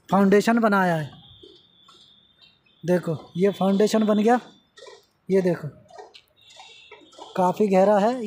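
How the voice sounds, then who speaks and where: A man's voice: high-pitched, darkish tone, slight background noise. A man vlogging from a construction site, chatting casually to his viewers as friends. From India. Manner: conversational, addressing viewers as friends. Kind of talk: casual walkthrough narration.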